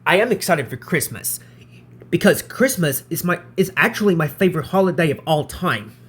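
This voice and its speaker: A man's voice: a little high, expressive, normal speed, a bit bright. A man in his twenties hosting a casual question-and-answer vlog, who often repeats himself and restarts his phrases. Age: 20s. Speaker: channel host answering viewer questions.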